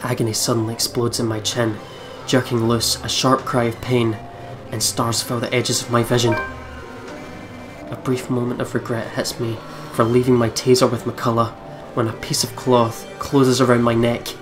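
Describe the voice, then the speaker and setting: A let's play host narrates a visual novel aloud, deliberate and dramatic. The pitch is mid-range and stays fairly even, with music playing clearly behind the words. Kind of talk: reading visual novel text aloud with spoken reactions. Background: game music.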